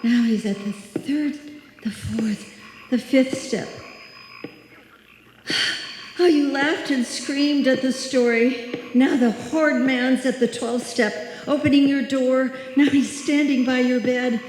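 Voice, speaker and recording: Woman acting anxious, slow-talking woman in echoey hall. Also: high voice, fairly even intonation, a little mellow. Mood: uneasy recalling. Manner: halting, talking anxiously to herself. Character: frightened woman walking home alone at night, self-reassuring. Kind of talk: live old-time radio suspense acting. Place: live stage performance in a hall. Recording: echoey hall, long reverb, faint noise.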